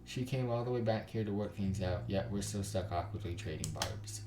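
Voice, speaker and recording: Low-voiced man reading aloud, roomy echo. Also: moderate pace, background noise. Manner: dramatic reading, switches into character voices. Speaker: gaming YouTuber. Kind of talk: visual novel read-aloud with commentary. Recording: roomy echo over guitar music. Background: guitar music.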